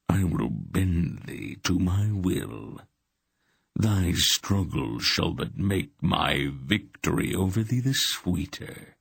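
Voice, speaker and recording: A rasping ancient god of darkness, all slow purring menace , voiced by a male audiobook reader. The voice sits very low and gravelly, stretching each word. The recording is dry and close. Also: no echo, full character voice. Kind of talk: audiobook character voice.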